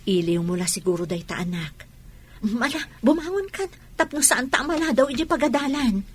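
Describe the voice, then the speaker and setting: A woman playing a gentle, comforting mother in a radio drama, speaking in a soothing, reassuring way. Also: on the high side, expressive, moderate pace, a little hiss or hum, lightly acted. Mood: gentle, caring. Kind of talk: Ilocano radio drama acting.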